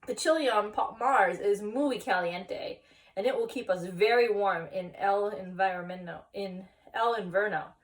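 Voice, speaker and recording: Storybook male dog, biggest of the small ones woman performing, drawn-out delivery with Spanish phrases. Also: medium pitch, recorded from a distance, lightly acted, rough recording. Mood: explaining. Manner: drawn-out, Spanish-sprinkled speech. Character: Don Diego, biggest of a pack of small male dogs.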